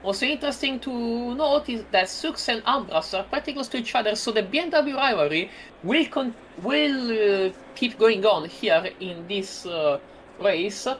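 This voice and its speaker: A woman's voice: a little high, expressive, normal speed, rough recording. A woman with an Italian accent giving chatty live play-by-play commentary on a sim race, with many fillers and restarts. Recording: loud racing car engine noise behind. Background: loud game car engines.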